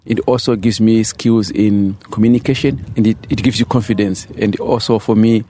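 A middle-aged man from Zimbabwe with a fairly low voice. He speaks as a referee instructor, explaining himself in an interview. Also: steady tone, moderate pace, dark tone. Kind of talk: interview answers.

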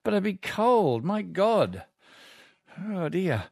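A man's voice: high voice, big pitch swings, slow pace, vocal fry, dry recording, studio quality. An older Australian man hosting a talkback radio show in a chatty, folksy way, reading out listener emails. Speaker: radio host. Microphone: studio microphone.

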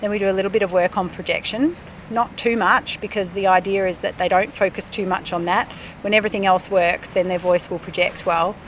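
Middle-aged aussie woman, speech pathologist, calm explaining, bit of outdoor noise. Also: medium pitch, poor audio. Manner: explanatory, measured with fillers. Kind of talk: interview.

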